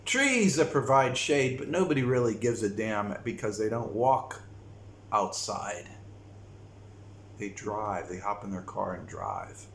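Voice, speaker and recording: American guy vlogging, slow and thoughtful, lots of pauses, roomy echo, faint hiss. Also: fairly low pitch, lively intonation, creaky. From United States. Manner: slow, reflective, with frequent pauses. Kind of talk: casual talk to camera. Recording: echoey room with faint noise.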